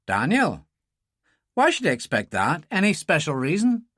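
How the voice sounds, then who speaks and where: A man's voice: fairly high pitch, huge pitch swings, moderate pace, fairly bright tone, no echo, studio quality, voice pushed up, full character voice. A man voicing an intense, bitter young paramilitary leader in a thriller audiobook, with a Belfast accent and a wary, sardonic manner. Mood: probing.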